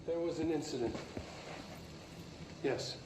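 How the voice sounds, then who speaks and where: An actor voicing an anxious, earnest research chief in a video game, halting and stammering as he talks. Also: a little high. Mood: uneasy awe. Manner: halting, stammering, agitated repetitions. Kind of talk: video game acting, recorded log. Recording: echoey room, loud noisy old recording.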